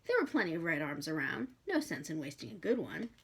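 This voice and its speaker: A woman's voice: low-pitched, big pitch swings, fast talker, vocal fry, fairly bright tone, husky. Woman reading a novel aloud expressively. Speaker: bookshop reader. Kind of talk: expressive storybook narration.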